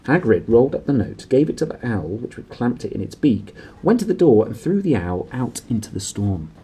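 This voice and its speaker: A man reading a storybook aloud as an expressive narrator. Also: mid-range voice, brisk, slightly rough. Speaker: audiobook reader.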